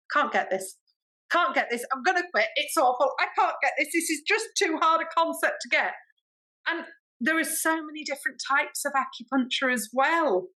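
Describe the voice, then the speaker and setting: A woman with an English accent, a podcast guest telling stories in a chatty, expressive way and acting out quoted dialogue. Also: high-pitched, rough recording. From Britain. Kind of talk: animated storytelling in casual interview.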